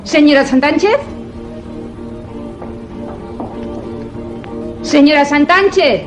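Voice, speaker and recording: An actress playing a polite, insistent young governess in a suspense radio drama, speaking expressively and theatrically. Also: female voice, high-pitched, normal speed, over music, close to an everyday voice. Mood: calling out. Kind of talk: Spanish-language suspense radio drama acting.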